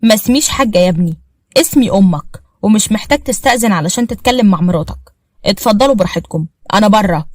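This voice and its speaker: Casting: a woman as a bossy Egyptian mother-in-law, firm and brisk, in a dry storybook read. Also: mid-range voice, expressive, darkish tone, dry recording, lightly acted. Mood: scolding. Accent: Egyptian Arabic. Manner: scolding, firm.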